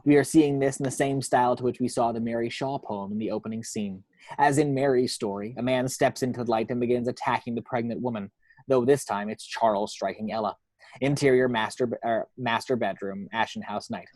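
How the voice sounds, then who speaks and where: Guy reading screenplay action lines, mid pitched voice, really dry sound with no room echo. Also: fairly quick, darkish tone. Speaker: reader of action descriptions. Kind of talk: scripted screenplay narration. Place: at home.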